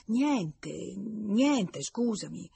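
A woman's voice: fairly high pitch, sing-song, a little mellow, slight background noise, voice pushed up. A woman voicing a nervous, apologetic young man who stammers and repeats himself in an audiobook. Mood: sheepish, apologetic. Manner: stammering, halting, repeating words.

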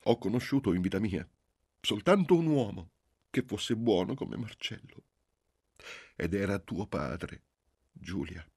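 A man's voice: medium pitch, very animated, normal speed, voice pushed up. A man reading a novel aloud, voicing a doting middle-aged mother who gushes with emphasis. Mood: gushing. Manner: gushing, emphatic.

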